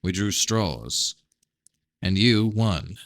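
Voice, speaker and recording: A man's voice: fairly low pitch, animated, very slow, vocal fry, a bit bright, exaggerated voice. A man voicing a calculating, evasive prince in a novel reading, with guarded, formal phrasing. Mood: coolly unrepentant.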